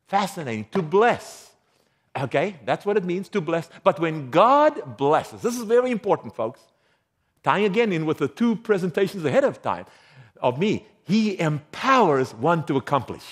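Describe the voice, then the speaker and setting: A man from South Africa preaching a sermon, quizzing the congregation with questions and chuckling between his points. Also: on the high side. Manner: engaging, quizzes the audience with questions.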